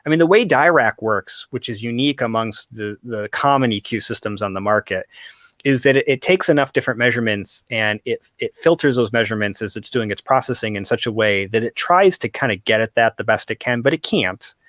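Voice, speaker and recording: A man's voice: mid-range voice, fairly quick, fairly bright tone, no echo. A man giving detailed technical explanations in conversation as an expert guest, carefully qualifying his points.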